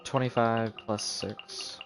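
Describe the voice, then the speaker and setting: A man's voice: mid-range voice, level tone, slow pace. A man streaming a video game with casual live commentary, muttering to himself as he goes. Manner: muttering to himself, counting aloud. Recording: music playing behind the voice. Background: game music.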